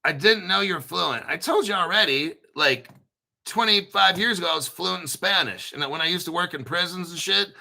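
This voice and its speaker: Guy ranting about crypto, cussing, kinda high voice with gravel in it. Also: normal speed, pushed and bright, low-quality recording. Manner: blunt, profane, rambling with restarts. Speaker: crypto channel host. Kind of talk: casual livestream chat.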